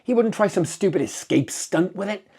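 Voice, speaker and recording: A man's voice: sits high, animated, normal speed, dark tone, slightly rough, pitched above the performer's own voice, exaggerated voice. A man voicing a distraught, tired and dogged sheriff in a dramatic story reading, insistent and emphatic. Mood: agitated, bitter. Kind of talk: dramatic audiobook character reading.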